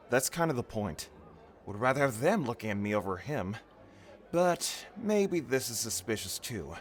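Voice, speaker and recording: A man's voice: medium pitch, big pitch swings, unhurried. A man playing an easygoing, wry guard in an audio drama, talking casually with dry teasing. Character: easygoing, wry male royal decoy guard.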